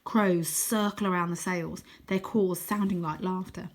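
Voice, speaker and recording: A woman reading a children's storybook aloud in an expressive, dramatic way, sometimes hushing her voice for suspense. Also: lowish voice. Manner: dramatic, deliberate, dropping to hushed tones for suspense.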